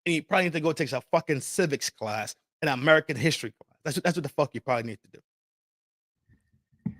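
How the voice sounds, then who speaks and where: A man's voice: on the high side, animated, fast talker, a bit bright, no room sound, clean studio sound. A man hosting a podcast, ranting casually about politics with heavy swearing, slang and repeated phrases. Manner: profane, emphatic, repetitive, street slang. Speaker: podcast host. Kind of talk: casual opinion rant.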